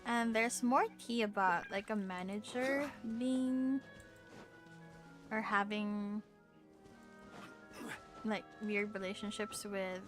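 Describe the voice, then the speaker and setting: A young adult woman chatting casually on a live game stream, informal and musing. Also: mid-range voice.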